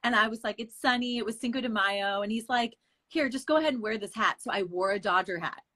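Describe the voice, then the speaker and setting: A woman in her thirties from the Bay Area, a real estate agent and podcast guest, telling candid, animated stories and laughing often. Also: on the high side, fast. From San Francisco Bay Area. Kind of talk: casual interview chat.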